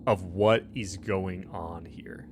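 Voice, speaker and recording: Low-voiced man hosting, music behind. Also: steady tone, slow. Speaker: host and game master. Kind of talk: casual banter introducing a tabletop roleplaying game session.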